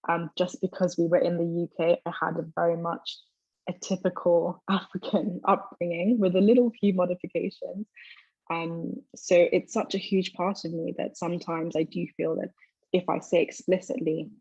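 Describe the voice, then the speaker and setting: A young woman with a London accent, a native Twi speaker, speaks in a reflective, motivational way. Her lowish voice has a lot of fry and stays quite even, on a roomy call recording. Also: moderate pace, muffled recording. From London, England. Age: 20s. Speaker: guest speaker. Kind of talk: personal motivational talk and reflective answers.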